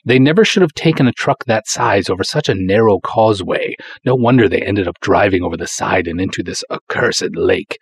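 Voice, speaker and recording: A man's voice: lowish voice, lively intonation, brisk, hardly any pauses. A man reading the part of a short, squat, formal and pompous doctor in a story. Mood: indignant.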